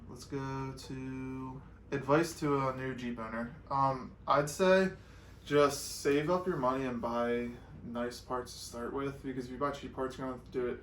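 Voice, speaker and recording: A man's voice: medium pitch, a bit bright. A young American man co-hosting an off-road vlog, reading out questions and giving practical, matter-of-fact answers in casual chat. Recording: roomy echo with some background noise.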